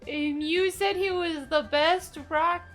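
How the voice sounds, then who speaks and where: A woman gives casual game commentary in a high voice. She is teasing and jokey, speaks at a slow pace, and has faint music behind her. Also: steady tone. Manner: joking, teasing. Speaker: gaming video host.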